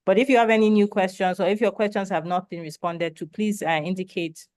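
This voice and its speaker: Hesitant webinar moderator, clear dry voice. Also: medium pitch, steady tone, fairly quick, a little mellow, no room sound. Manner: hesitant, frequent ums and restarts.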